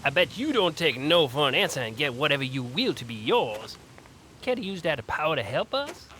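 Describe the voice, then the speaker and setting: An actor playing a cocky, smarmy robed mage who threatens and mocks travellers in a fantasy audio drama. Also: male voice, a little high, sing-song, moderate pace, bright, forceful tone, a little hiss or hum. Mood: taunting, smug. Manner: smarmy, mocking, swaggering. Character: cocky, taunting male robed mage bandit.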